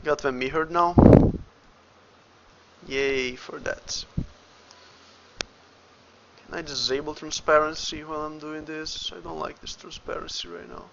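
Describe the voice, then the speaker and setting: A man's voice: on the high side, little pitch movement, unhurried, vocal fry, pauses often, sharp tone, highs cut off, a little hiss or hum. A Brazilian man with a Brazilian Portuguese accent, a game developer casually talking through his work on a livestream and muttering each step to himself. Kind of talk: casual livestream, thinking aloud while working.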